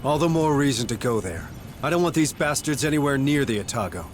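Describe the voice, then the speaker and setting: A man playing a gruff marine sergeant and desperate father in a video game, blunt, commanding and gravelly. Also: medium pitch, animated, brisk, noisy background, lightly acted. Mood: grim resolve. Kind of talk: dramatic video game acting.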